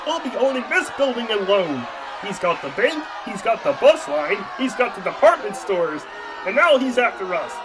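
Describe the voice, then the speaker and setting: A man's voice: high voice, noisy background. A man voicing an earnest young frog puppet in a comic radio play, urgent and a little hesitant.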